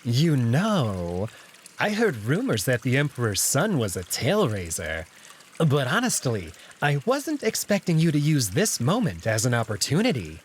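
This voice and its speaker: A man's voice: mid-range voice, wildly swooping pitch, measured pace, a little hiss or hum. A man voicing a smug, flirtatious male jackal in a visual novel, speaking with arch, mockingly polite theatricality. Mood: taunting, flirtatious.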